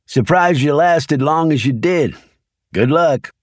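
A man's voice: a little high, lively intonation, takes it slowly, dry recording, clean studio sound, voice pushed up. A man reading an audiobook, voicing a wisecracking man in his fifties with a folksy drawl. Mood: amused. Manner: folksy drawling dialect, sly and joking. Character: older country man, wisecracking, easygoing, stubborn. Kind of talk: audiobook character voice.

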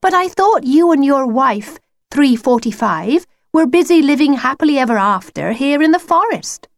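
A woman voicing a blunt, exasperated young schoolgirl in a children's story, brisk and impatient. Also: high-pitched, lively intonation, no room sound, voice pushed up. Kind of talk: children's storybook character reading.

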